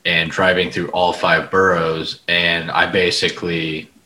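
Low, bright-toned co-host from Orlando. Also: male voice, level tone, measured pace. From Orlando, Florida. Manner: laughing, exaggerating complaints. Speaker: podcast co-host. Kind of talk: casual interview chat.

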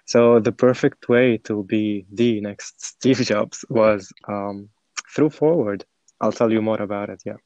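Halting young man, dull lo-fi recording. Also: on the low side, a little mellow, no room sound. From Syria. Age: 20s. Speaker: podcast guest, former startup program participant. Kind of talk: conversational personal storytelling in an interview.

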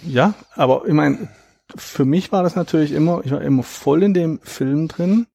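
A man in his thirties, a guest in an interview, explaining at length in a reflective, measured way with a gravelly, husky voice. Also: a little high, mellow, dry recording. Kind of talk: conversational interview.

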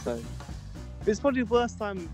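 A man's voice: high-pitched, big pitch swings, moderate pace, over music. A young man hosting a podcast in casual chat, jokey and self-mocking, often stumbling and restarting his words. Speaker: podcast host.

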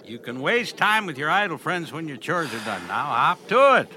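A bossy, impatient farmer in a radio drama, with a bright, forceful voice that sits fairly high and moves animatedly. Faint noise lies behind him. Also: male voice, very animated, normal speed, full character voice. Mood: brusque, commanding. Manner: brusque, grumbling, bossy. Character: gruff, impatient, grumbling farmer uncle.

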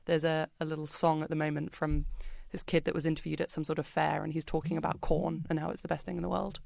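A British woman being interviewed on a podcast, talking in a chatty, expressive way with plenty of ums and likes. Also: deep voice, fast talker, creaky, no room sound. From Britain. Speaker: podcast guest. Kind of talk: casual interview chat.

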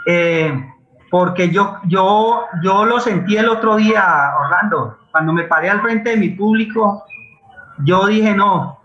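A Colombian man speaking as a guest in an impassioned conversational interview, halting and repeating words as he searches for them. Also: high voice, bright, forceful tone, slight background noise. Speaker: guest playwright and actor. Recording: dull lo-fi roomy online call.

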